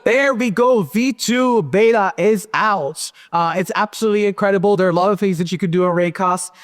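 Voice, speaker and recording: A man in his thirties giving a lively, joking tech conference talk from a large hall stage. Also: high voice, fairly quick. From Pakistan and Switzerland. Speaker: conference speaker. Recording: hall reverb.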